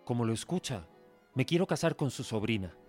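An actor playing an earnest, proud young suitor in a radio drama, speaking formally. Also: male voice, medium pitch, expressive, fairly quick, a little mellow, faint music behind, natural voice. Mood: hopeful, confident. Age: young adult.

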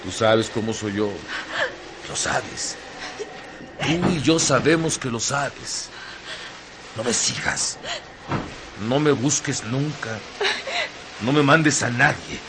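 A man's voice: mid-range voice, slow pace, pauses often. An actor playing a hard, intense man who gives his lover terse, insistent commands in a radio drama. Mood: intense, restrained. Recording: noisy radio drama with sound effects.

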